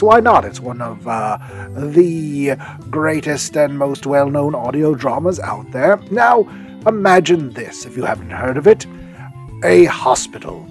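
A man with a fairly high voice speaks as a podcast host over soft music. He rambles whimsically and enthusiastically in a casual, comic promotional monologue. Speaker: podcast host recommending audio dramas.

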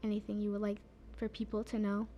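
A young woman host reading prepared interview questions and comments in an even way. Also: medium pitch, fast, dull audio, a little hiss or hum. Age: young adult. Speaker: youth interviewer. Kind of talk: scripted interview questions and narration.

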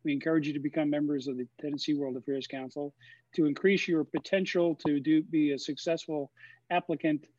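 Man, host, halting with fillers, dry, dull lo-fi audio. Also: fairly high pitch, fairly even intonation, darkish tone, dry recording. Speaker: host of a webinar Q&A. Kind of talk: casual moderated Q&A.

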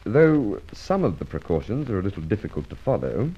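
An actor playing a polite, dogged, suspicious police inspector in an old radio drama, courteous and probing. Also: male voice, lowish voice, very animated, breathy edge, dull audio. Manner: courteous, probing, measured phrasing.

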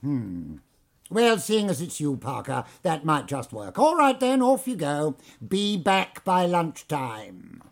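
An actor playing a pompous, bullying theme park manager with an English accent, in audio drama style. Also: male voice, on the high side, huge pitch swings, slow pace, gravelly, exaggerated voice. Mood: officious. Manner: pompous, theatrical.

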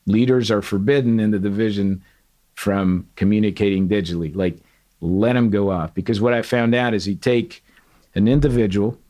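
A middle-aged man from Portugal, a retired sergeant major, telling candid stories in an interview with an earnest, animated manner. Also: lowish voice. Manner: earnest, animated, quotes others in dialogue. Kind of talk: candid storytelling.

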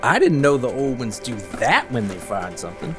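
An actor plays an excitable small-town man with a curious streak. His folksy speech leaps up and down eagerly, as audio drama dialogue over faint music. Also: medium pitch, moderate pace, natural voice. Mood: interested.

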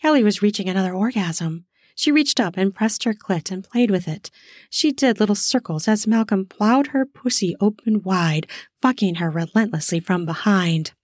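A woman narrating an erotic story in an unhurried, intimate reading. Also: medium pitch, a little mellow, no room sound, studio quality.

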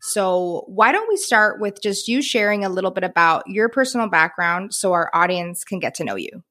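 A woman's voice: medium pitch, expressive, normal speed, bright, forceful tone, no echo, clean studio sound. A woman hosting a career podcast who also works as a career coach, talking in an upbeat, enthusiastic way.

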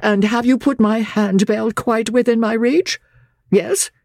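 A man voicing a languid, peevish gentleman invalid in an audiobook, with a fussy, affectedly fragile, sarcastic drawl. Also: high voice, fairly even intonation, measured pace, gravelly, no echo, studio quality, pitched above the performer's own voice. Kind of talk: dramatised audiobook character voice.

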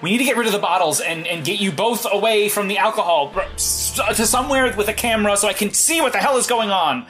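A high, sharp-toned male hero in an audio drama. He is analytical and insistent, tripping over words under pressure as he coaches someone. Faint music sits behind him. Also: animated, fast, hardly any pauses. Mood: urgent, insistent.

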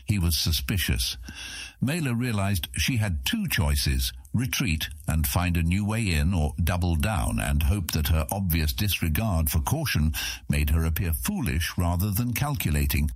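A man's voice: deep voice, lively intonation, a little slow, husky, dry recording, clean studio sound. A man narrating a fantasy audiobook in a dramatic, scripted storytelling style.

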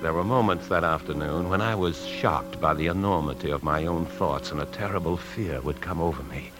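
An American actor playing a scheming, secretive married bank man in a 1950s radio drama, brooding and deliberate. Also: sits low, music in the background, natural voice. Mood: brooding, obsessed. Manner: brooding, deliberate, hesitant when lying. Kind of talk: 1950s radio drama acting and confessional narration. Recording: 1950s radio broadcast recording.

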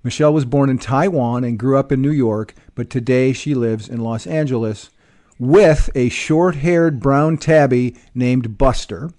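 A man introduces his guest on a podcast. He reads the bio aloud at a deliberate, measured pace. His voice sits at a middle pitch. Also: warm tone. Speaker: podcast host.

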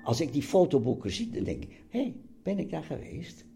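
Elderly man, very animated pitch. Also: moderate pace, vocal fry, music in the background. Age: 80s. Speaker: host's father, interviewee. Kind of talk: casual conversation.

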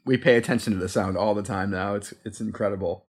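Low-pitched male guest, easygoing, wandering sentences. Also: fast talker. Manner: relaxed, rambling with frequent restarts. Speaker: podcast guest, sound designer. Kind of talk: casual chat.

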